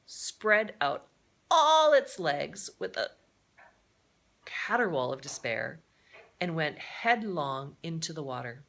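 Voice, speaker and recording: Slow, animated woman reading a storybook aloud. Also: sits low, vocal fry. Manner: expressive, with explanatory asides to listeners. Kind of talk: dramatic read-aloud of a children's adventure novel.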